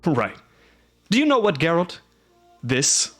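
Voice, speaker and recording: A gruff, blustering middle-aged lord of a keep, voiced by a male storyteller. He speaks in a raised, emphatic voice that leaps upward with indignation. Faint music plays under the reading. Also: fairly high pitch, big pitch swings, takes it slowly, a bit bright, voice pushed up, full character voice. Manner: exclaiming, emphatic, rising.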